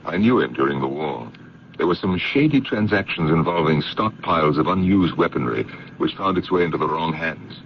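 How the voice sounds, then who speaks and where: Very deep, wry, self-assured fellow. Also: male voice, lively intonation, moderate pace. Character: wry, self-assured male friend once wrongly suspected. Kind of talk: old-time radio drama acting. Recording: muffled, faintly noisy radio drama recording.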